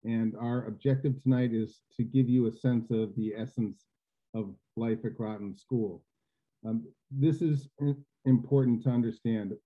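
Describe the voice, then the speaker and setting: A man's voice: mid-range voice, steady tone, a little slow. A middle-aged man hosting a school webinar, chatty and full of fillers and restarts. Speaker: admissions host. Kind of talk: webinar introduction. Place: home living room, indoors. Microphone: video call webcam. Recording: tinny phone-like video call audio.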